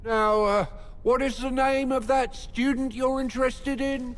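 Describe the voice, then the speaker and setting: An actor playing a fussy, pompous, overworked academy rector in a video game, with hesitant, formal phrasing. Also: male voice, very high voice, little pitch movement, measured pace, fairly bright tone, in a large hall. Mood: pompous, helpful. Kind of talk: video game dialogue acting.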